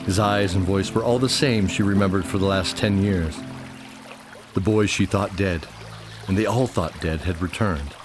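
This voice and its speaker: A man's voice: low-pitched, moderate pace, over music. A man narrating a scripted fantasy audio drama.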